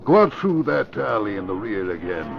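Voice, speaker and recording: A man's voice: lowish voice, big pitch swings, normal speed, gravelly. A man acting a short-tempered, scheming crime boss in an old radio drama, curt and commanding. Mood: tense, guarded. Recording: muffled, hissy old radio recording.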